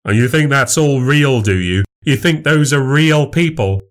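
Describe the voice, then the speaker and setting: A man's voice: medium pitch, expressive, measured pace, voice pushed up, natural voice. Guy acting out a jealous husband in an audiobook, sarcastic and goading, voice pushed up. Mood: bitter, scornful. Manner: goading, sneering. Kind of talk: acted audiobook dialogue.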